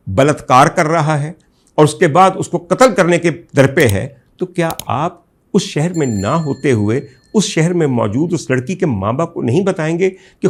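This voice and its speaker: A man with a Pakistani accent giving an indignant, emphatic opinion monologue as a talk show host, full of rhetorical emphasis, in a husky, gravelly voice. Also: fairly high pitch. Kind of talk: opinion monologue recounting a case to viewers.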